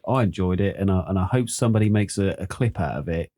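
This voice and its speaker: Bloke cohosting, lowish voice, jokey and teasing, quick asides. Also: male voice, dark tone. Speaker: podcast co-host. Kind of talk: casual chat.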